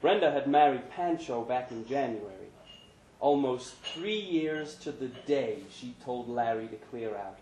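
A man's voice: medium pitch, animated, measured pace, a little mellow. Man reading a story, echoey noisy room. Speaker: story reader. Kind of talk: live literary story reading. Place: pub event room, live reading. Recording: echoey pub room, noticeable background noise.

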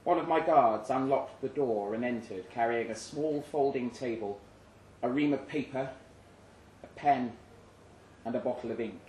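A man reading literary fiction aloud in a dramatic first-person narration, with a grave, measured delivery. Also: medium pitch, darkish tone, slight background noise. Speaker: story reader. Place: indoor live reading event. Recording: echoey live room.

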